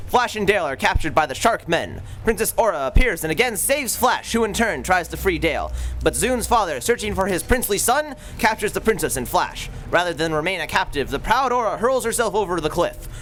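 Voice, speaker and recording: A man narrating an old-time radio serial with booming theatrical delivery. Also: very animated, brisk, few pauses, fairly bright tone. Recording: live stage recording with background noise.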